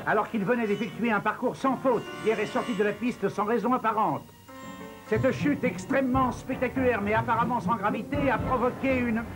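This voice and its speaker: An eager cartoon ski commentator with a high voice. He talks in polished announcer phrases, with music running underneath. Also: moderate pace. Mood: dramatic. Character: eager male TV sports commentator at ski races. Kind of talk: sports commentary in a cartoon dub.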